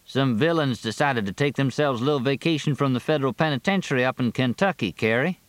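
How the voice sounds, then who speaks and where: A man playing a polite, earnest rural deputy with a deliberate, understated manner in a story reading. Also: mid-range voice, dry recording, pitched above the performer's own voice, natural voice. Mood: droll, concerned. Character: polite, drawling young male rural deputy, earnest and droll.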